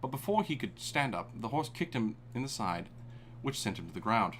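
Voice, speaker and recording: A man's voice: mid-range voice, sing-song, fairly quick, fairly bright tone, slight background noise. A young man reading a story aloud on a live stream, stumbling and self-conscious about it.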